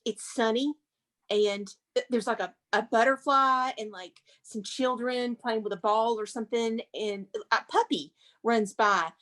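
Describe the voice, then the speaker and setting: An actress playing a museum curator who narrates screenplay stage directions aloud. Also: female voice, a little high, measured pace, fairly bright tone. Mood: flustered, improvising.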